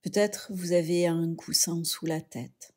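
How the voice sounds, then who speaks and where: A woman leading a guided yoga relaxation, speaking softly and soothingly in short phrases with pauses. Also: fairly low pitch, fairly even intonation. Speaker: yoga nidra instructor.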